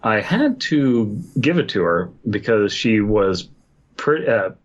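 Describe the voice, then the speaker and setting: A man's voice: on the low side, big pitch swings, slow, lo-fi sound. A man co-hosting a podcast in casual chat, riffing in a crude, mock-serious way. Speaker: podcast co-host. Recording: faint background noise.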